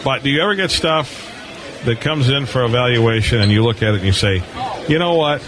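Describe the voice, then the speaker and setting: A man hosting an informal live interview, asking rambling questions with halting, repeated starts. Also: mid-range voice, expressive. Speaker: radio show host, interviewer. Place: booth on a convention hall floor. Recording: noisy busy convention floor background.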